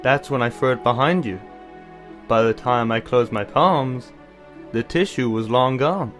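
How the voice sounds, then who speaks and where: A man playing a caring, bookish older brother in an audio drama, explaining things in a measured, slightly formal way. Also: medium pitch, a bit bright, soft background music, lightly acted. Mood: knowing, patient. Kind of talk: anime-style audio drama acting.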